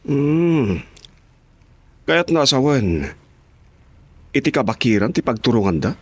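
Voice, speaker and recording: An obedient male henchman in an Ilocano radio drama. He has an Ilocano accent and a mid-range voice with lively rises and falls, recorded dry. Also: medium pitch, slow, no echo. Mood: watchful. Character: questioning henchman and driver, deferential to his boss.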